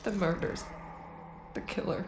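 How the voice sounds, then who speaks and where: A woman's voice: measured pace, lightly acted. A woman acting a tormented captive fighting for control in a video game, distressed and struggling. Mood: strained, disoriented. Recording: roomy echo, loud noisy ambience.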